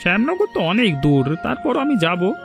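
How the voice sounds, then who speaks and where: Helpful village stranger for cartoon, acted by a man: modest, courteous, high-pitched, over light music. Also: sing-song, moderate pace, gravelly, exaggerated voice. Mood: concerned. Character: kind, modest village rescuer, helpful and polite. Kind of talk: cartoon story drama.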